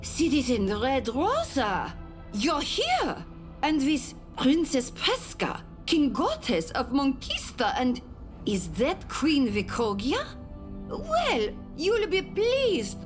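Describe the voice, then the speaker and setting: High, wildly swooping haughty villainess. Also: female voice, huge pitch swings, slow pace, over music, full character voice. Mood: fawning surprise. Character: haughty, theatrical villainous noblewoman. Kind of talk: scripted video game villain acting.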